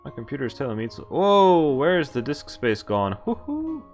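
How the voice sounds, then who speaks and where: A man streaming a visual novel, reading it aloud and commenting casually in a halting way with offhand swearing. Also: on the high side, wildly swooping pitch, faint music behind. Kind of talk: casual game walkthrough with read-aloud narration.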